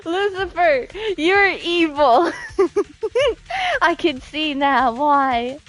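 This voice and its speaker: Playful high-voiced woman streamer. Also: sing-song, very slow, rough recording. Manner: playful, exclamatory, reactive. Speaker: game streamer. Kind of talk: live stream reactions, chatting with viewers.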